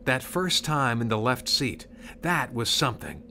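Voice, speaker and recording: A man's voice: mid-range voice, very animated, measured pace, sharp tone, a little hiss or hum. A man reading scripted storybook narration of a Christmas tale. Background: music.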